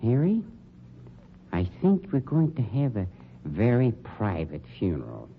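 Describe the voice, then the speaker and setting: Casting: polished, sarcastic undertaker boss, low and lively voice, old radio crime drama. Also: male voice, gravelly, full character voice. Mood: cool scheming. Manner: polished, sarcastic, condescending, deliberate diction. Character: suave, sardonic, polished male funeral home director, shady boss. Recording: dull, noisy old radio recording.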